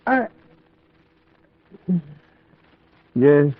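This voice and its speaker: An actor playing an earnest, uneasy young male solicitor in an old-time gothic radio play, measured and theatrical. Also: on the high side, big pitch swings. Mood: entranced, torn. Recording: tinny, narrow vintage radio recording. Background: music.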